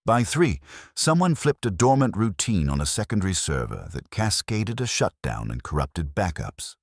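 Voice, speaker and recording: A man's voice: low voice, very animated, moderate pace, no room sound, clean studio sound, pitched above the performer's own voice, heavily acted. A man voicing a composed, defiant businesswoman client, precise and firm, in a mystery audiobook.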